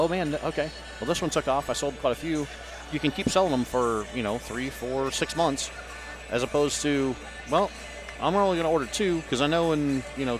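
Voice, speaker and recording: A man's voice: mid-range voice, poor audio. A man in casual booth chat, talking in a rambling, upbeat way with many fillers, on a headset mic. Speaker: guest company rep. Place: busy trade show hall.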